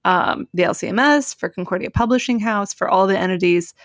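A woman chats casually as a co-host, wry and articulate. Her voice is middling in pitch and recorded very dry, without room echo. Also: fairly quick. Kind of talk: casual chat.

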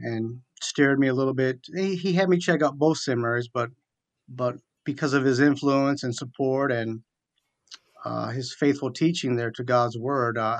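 A man's voice: medium pitch, dry recording. A middle-aged American man, an interview guest, telling his personal story conversationally and in methodical detail. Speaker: interview guest, military chaplain.

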